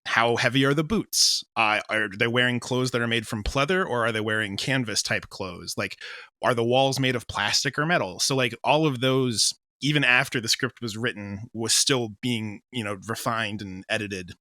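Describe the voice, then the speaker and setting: A man's voice: mid-range voice, moderate pace, pushed and bright, dry recording, studio quality. A man chatting casually as an interview guest, explaining his creative work.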